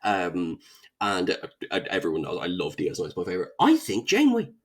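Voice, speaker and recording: A man chatting casually as a podcast guest, animated and playfully dramatic. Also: deep voice, a little fast, husky. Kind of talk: casual interview chat.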